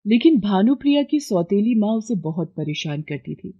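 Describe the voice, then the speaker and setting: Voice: mid-range voice, sing-song, moderate pace, lo-fi sound. A narrator reading a scripted moral bedtime story.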